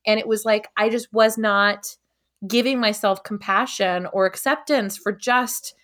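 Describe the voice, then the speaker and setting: A woman sharing personal reflections casually, in a halting, self-reflective way. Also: medium pitch, fairly even intonation, fairly bright tone. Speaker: podcast participant.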